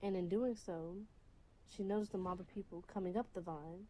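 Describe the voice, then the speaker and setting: Mellow lower-pitched woman telling a story. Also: fairly even intonation, moderate pace, low-quality recording. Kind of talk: scripted fairy tale narration. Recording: faint music bed under voice.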